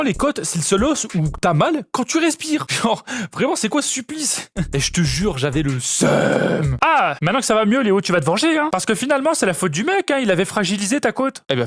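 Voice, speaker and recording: A man in his twenties telling a comic story in a vlog, slangy and animated, with shouted sound effects. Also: sits high, dry recording. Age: 20s. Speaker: vlogger.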